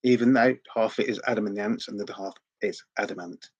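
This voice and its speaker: A man's voice: fairly low pitch, steady tone, fast, husky, lo-fi sound. A middle-aged man with a sore throat, chatting in a rambling, repetitive way as host of a record collecting vlog. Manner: rambling, chatty, repeats words often. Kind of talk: casual vlog chat.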